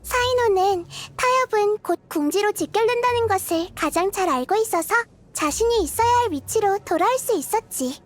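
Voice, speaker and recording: A woman voicing a gentle, curious little girl deity of wisdom in a game, soft-spoken and thoughtful, as if kindly explaining. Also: extremely high pitch, lively intonation, normal speed, few pauses. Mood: patient, pleased. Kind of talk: scripted game character voice lines. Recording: music behind the voice. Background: background music.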